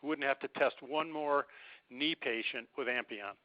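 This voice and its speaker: A man's voice: mid-range voice, moderate pace. A man calling into a conference call with informal, insistent questions, repeating himself to get confirmation. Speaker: caller asking questions. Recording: dull lo-fi phone line.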